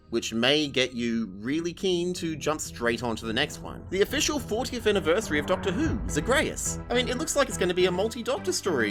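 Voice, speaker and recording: An Australian man narrating an opinionated scripted review in a sweary, emphatic, sardonic way. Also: fairly high pitch. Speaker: video review host. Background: music under the voice.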